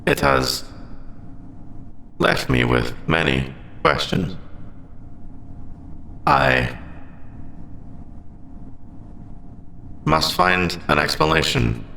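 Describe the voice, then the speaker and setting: Sentient AI , played by a man for ASMR roleplay: bright, crisp-edged tone, very wide pitch swings, formal and hesitant, echoing space. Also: sing-song, slight background noise, heavily acted. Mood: tender, conflicted. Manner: halting, formal, clipped robotic phrasing. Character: lovestruck sentient AI, formal, conflicted, hesitant about forbidden feelings. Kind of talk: ASMR audio roleplay, scripted monologue.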